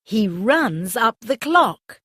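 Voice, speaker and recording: Female narrator for young children's stories: unhurried, expressive, simple delivery over noisy birdsong. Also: on the high side. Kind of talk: scripted children's story narration.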